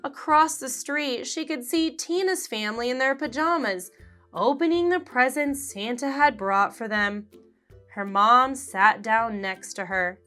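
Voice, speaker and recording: A woman reading a children's picture book aloud, slowly and expressively. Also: a little high, soft background music. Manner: slow, expressive, animated character voices. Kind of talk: read-aloud storybook narration.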